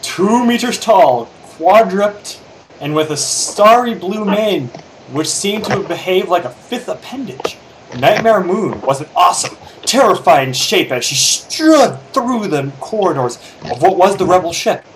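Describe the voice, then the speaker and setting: A man giving a halting, theatrical amateur narration of a fan fiction story. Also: high voice, lively intonation, low-quality recording. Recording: amateur recording with hiss and clicks.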